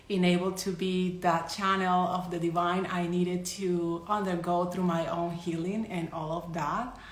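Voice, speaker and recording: Colombian-accented woman in an echoey room. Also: on the low side. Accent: Colombian Spanish. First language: Spanish. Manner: chatty, loose, with frequent restarts and laughs. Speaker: psychic medium and energy healer. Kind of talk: casual live-stream talk to followers.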